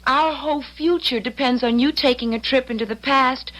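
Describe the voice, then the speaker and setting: A woman's voice: high-pitched, moderate pace, a bit bright. An actress playing a feisty, blunt young American woman in an old-time radio drama, wry and determined. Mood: firm, reproachful.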